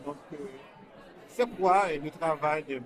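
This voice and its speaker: A man's voice: a little high, measured pace. A man working as a radio reporter, putting questions to guests in an interview. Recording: loud crowd noise around him. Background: loud crowd.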